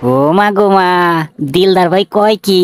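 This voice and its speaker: A man's voice: high-pitched, level tone, normal speed, music in the background, close to an everyday voice. Brazen slum gangster, acted by a man, taunting and crude. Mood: mocking, defiant. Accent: rural Bangladeshi. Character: brazen bullying male slum gangster, lewd and menacing. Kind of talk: cartoon villain dialogue.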